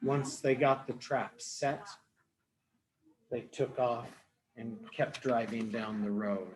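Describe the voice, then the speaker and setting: A man telling a story to young children as a teacher, explaining things simply with dramatic pauses. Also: medium pitch, a little hiss or hum, poor audio. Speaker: storyteller, early education teacher.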